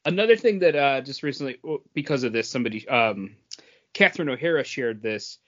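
A man co-hosting a podcast, chatting casually about entertainment news in a halting, hesitant way. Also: mid-range voice, expressive, highs cut off. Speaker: podcast co-host. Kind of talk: casual chat about movie and comics news.

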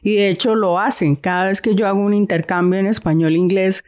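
A woman from Santander, Colombia, with a softened Santander accent, talking thoughtfully as a guest in a casual conversation. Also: medium pitch, steady tone, a little fast. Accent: Santander Colombian Spanish, softened.

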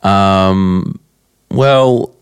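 A young Australian man with a croaky voice hosting a casual solo podcast at his desk, answering listener questions bluntly and chattily. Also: deep voice, very slow, dry recording. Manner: chatty, blunt, rambling with fillers. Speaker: podcast host. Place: at a desk, indoors.

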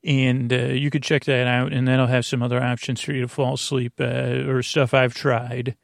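A man's voice: mid-range voice, flat delivery, moderate pace, gravelly, no room sound, clean studio sound. A man hosting a bedtime podcast in a meandering, stammering monologue full of whimsical, goofy tangents. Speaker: podcast host.

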